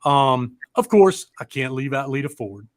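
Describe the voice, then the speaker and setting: A man's voice: medium pitch, animated, measured pace, dry recording. A man co-hosting a casual chat show, rambling along in a folksy, informal way. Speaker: show co-host.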